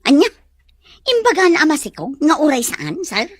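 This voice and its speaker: High-pitched, cheeky radio drama housemaid. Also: female voice, dry recording, studio quality. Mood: curious, chatty. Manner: exclamatory, animated, drawn-out delivery. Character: cheeky, giggly housemaid teasing her boss.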